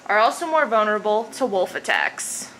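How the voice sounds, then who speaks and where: A woman's voice: medium pitch, sing-song, moderate pace, fairly bright tone. A woman giving a scripted, documentary-style narration. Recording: noisy amateur recording with background ambience.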